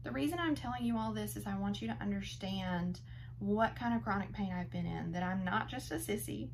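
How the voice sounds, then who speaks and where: Woman, 30s, earnest vlogger testimonial, a little gravelly, faint room hiss behind. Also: medium pitch, a little fast. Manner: earnest and empathetic, speaks directly to the viewer. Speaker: vlogger sharing her chronic pain story. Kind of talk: heartfelt personal testimonial.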